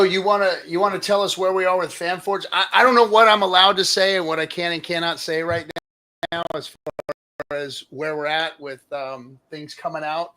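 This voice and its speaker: A man's voice: on the high side, pushed and bright. A man hosting a casual live stream interview, informally rephrasing his questions as he goes. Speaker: host of an online hangout stream.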